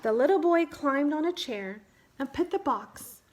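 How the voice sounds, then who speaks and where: A woman narrating a children's picture book aloud in measured, simple phrases. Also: high-pitched, lively intonation, a little mellow. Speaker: children's read-aloud storyteller.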